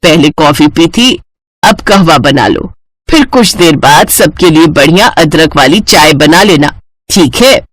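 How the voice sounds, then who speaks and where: A woman voicing a calm, firm, middle-aged mother-in-law in a cartoon story. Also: sits low, measured pace, no echo, pitched below the performer's own voice. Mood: firm, matter-of-fact.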